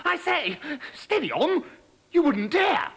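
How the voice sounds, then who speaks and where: A man voicing a prim, frightened young frog prince who squeaks in panic. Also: sing-song, slow pace, fairly bright tone, heavily acted. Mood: indignant. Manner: squeaky, panicky, plummy indignation.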